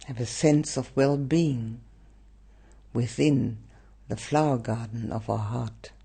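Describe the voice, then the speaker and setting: A male meditation teacher guides listeners gently, leaving long pauses between phrases. His voice is mellow and husky, with a gravelly creak, and it soothes throughout. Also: mid-range voice, fairly even intonation.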